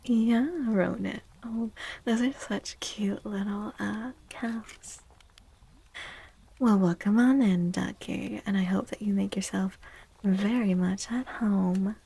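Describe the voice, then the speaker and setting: A woman softly speaking and whispering in a gentle, hushed ASMR style, as a livestream host giving a tarot reading. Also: medium pitch, steady tone, gravelly, slightly rough. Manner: gentle, hushed, unhurried, soothing repetition.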